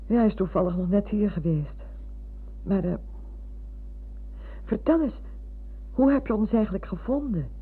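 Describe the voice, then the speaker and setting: An older actress playing a chatty, fussy, sentimental retired schoolmistress who rambles and interrupts herself in a radio play. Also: female voice, mid-range voice, animated, normal speed, long pauses, breathy edge. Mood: chatty, confiding. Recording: muffled phone-like old radio recording.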